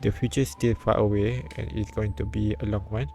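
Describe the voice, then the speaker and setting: Halting, low-key man reading aloud, even pitch. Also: vocal fry, a little hiss or hum. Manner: halting, often repeating words as he reads. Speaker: live streamer reading a visual novel aloud. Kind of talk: read-aloud narration with casual asides. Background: soft game music.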